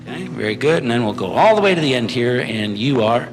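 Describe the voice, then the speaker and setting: A man's voice: medium pitch, animated, fast talker. A man hosting a question session with young audience members, friendly and chatty, joking as he moves through the rows. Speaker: event host. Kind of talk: moderating audience Q&A. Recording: hall reverb, crowd, music.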